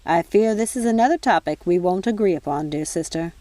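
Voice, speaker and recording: A woman's voice: lowish voice, a little fast, dark tone, no echo, close to an everyday voice. A woman voicing a weary, sensible fairy nanny in an audiobook, with restrained, dry patience. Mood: weary, placating. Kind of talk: audiobook character voice.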